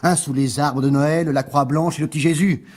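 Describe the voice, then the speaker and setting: An actor playing an indignant, sarcastic young entrepreneur, cornered and scornful, in a radio mystery play. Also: male voice, on the high side, steady tone, moderate pace, darkish tone, close to an everyday voice. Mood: sarcastic, threatening. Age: young adult.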